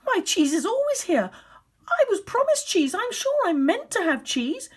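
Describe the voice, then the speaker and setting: An indignant, entitled character from a children's story, acted out by a woman. The voice shoots up high and swoops about, loud and demanding, stretching words into a wail. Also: very animated. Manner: loud, demanding, wailing and drawn-out. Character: indignant, entitled humans wailing over their stolen cheese.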